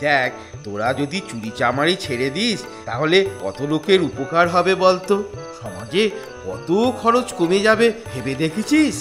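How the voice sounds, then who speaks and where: A man's voice: fairly high pitch, sing-song, moderate pace, music in the background. A man voicing a preachy, earnest older schoolmaster in a comic cartoon, lecturing emphatically.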